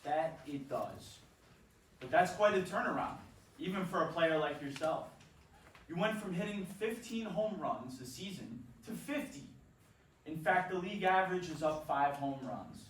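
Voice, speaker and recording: A man's voice: a little high, expressive, moderate pace, natural voice. A man acting a formally polite, accusing interrogator in a staged play reading. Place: theatre staged reading, recorded from off. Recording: echoey room, distant mic, background noise.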